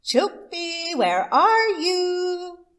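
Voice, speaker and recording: A hardworking storybook fox, acted by a woman, calling out loudly across a distance. The voice is very high and stretches out as it hollers. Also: sing-song, exaggerated voice. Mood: impatient calling. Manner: loud, drawn-out calling.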